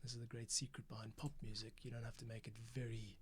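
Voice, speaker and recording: A man's voice: medium pitch, little pitch movement, fast talker, a little hiss or hum. A man giving casual livestream commentary as he works, thinking aloud and explaining each step. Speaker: composer and livestream host. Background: music playback.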